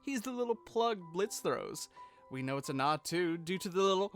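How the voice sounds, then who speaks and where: A man's voice: sits high, animated, moderate pace. A man co-hosting a scripted commentary, casual and sweary in his asides, with music playing behind him. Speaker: video co-host. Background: music bed.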